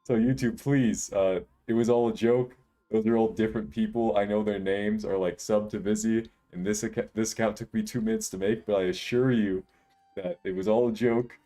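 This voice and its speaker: Deep voiced guy in his 20s, chill jokey streamer talk, low voice, bit of game music under it. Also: fairly even intonation, moderate pace, mellow. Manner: laid-back, joking, rambling. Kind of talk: casual live-stream chat while gaming.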